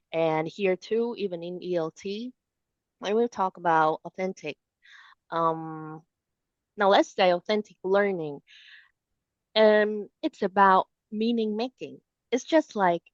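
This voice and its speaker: A woman in a casual discussion speaks slowly and thoughtfully, pausing on frequent ums. Her voice sits at a middle pitch. The sound is dry and slightly muffled, as from a modest remote mic. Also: no room sound.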